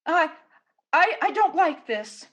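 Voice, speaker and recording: Very high-voiced frightened wife. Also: female voice, very animated, slow pace, a bit bright, full character voice. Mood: scared. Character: frightened, anxious, clinging wife and mother. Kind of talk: old-time radio drama acting.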